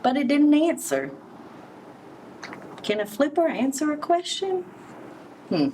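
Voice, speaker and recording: Animated, higher-voiced woman reading to children. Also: slow pace. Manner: expressive, explains pictures and asks the listeners questions. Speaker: children's story reader, early education center director. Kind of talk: read-aloud picture book storytelling for young children. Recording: distant mic with background noise.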